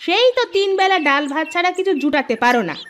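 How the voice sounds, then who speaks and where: A woman's voice: very high voice, lively intonation, fast, fairly bright tone. A woman voicing a nagging, sarcastic village wife in a comedy cartoon, always complaining. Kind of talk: Bengali comedy cartoon dubbing.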